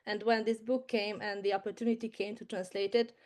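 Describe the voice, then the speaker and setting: Woman, Hungarian accent, soft hesitant delivery, video call guest. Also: medium pitch, flat delivery, brisk. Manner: soft-spoken, hesitant, many fillers. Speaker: medical translator guest.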